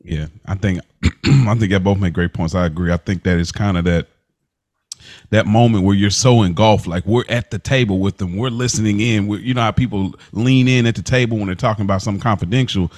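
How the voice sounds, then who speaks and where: A man with a fairly low voice hosts a casual recap podcast. His talk is slangy and informal, moving through scenes in a conversational way. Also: brisk, a little mellow. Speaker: podcast host.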